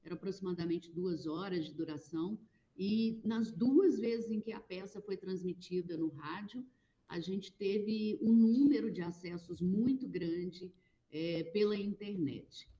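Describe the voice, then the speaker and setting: Brazilian woman with a mellow, muffled voice. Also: mid-range voice, a little slow. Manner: animated, explanatory, with frequent fillers. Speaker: public radio guest. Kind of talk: conversational interview answers.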